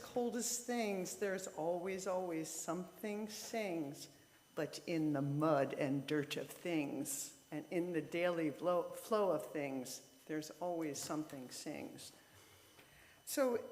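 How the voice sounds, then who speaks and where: A woman leading a church service, speaking informally and a little haltingly to the congregation. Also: fairly low pitch. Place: church sanctuary, indoors. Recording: echoey hall with long reverb.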